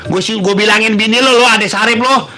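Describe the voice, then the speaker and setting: A man with a Betawi Jakarta accent hosts a joking call-in style show, reading out silly questions and pantun and mocking them with loud bursts. His voice sits high and is a little sniffly, and music plays clearly behind him. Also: a little fast, poor audio. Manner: banters, teases listeners, breaks into loud bursts. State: runny nose, sniffly. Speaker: comedic radio-style host reading listener questions.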